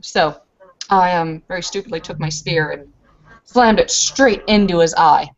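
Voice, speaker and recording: Woman playing a rambling, low-voiced warrior woman. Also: measured pace, muffled recording. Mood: boastful storytelling. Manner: hesitant, rambling, frequent self-interruptions. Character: female werewolf warrior back from travels, self-deprecating, rambling, boastful. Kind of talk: in-character tabletop roleplay storytelling.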